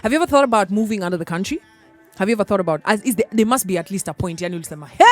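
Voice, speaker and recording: A woman's voice: mid-range voice, lively intonation, very fast, soft background music. A young woman with a Kenyan accent hosting a morning radio talk show, chatting and mixing English with Swahili slang. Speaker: radio host.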